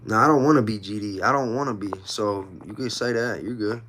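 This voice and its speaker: A man in his thirties with a fairly low voice talks casually on a livestream. He tells personal stories in informal street slang. His delivery is emphatic, with points often restated. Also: fairly quick, a bit bright, slight background noise. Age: 30s. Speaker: livestream host.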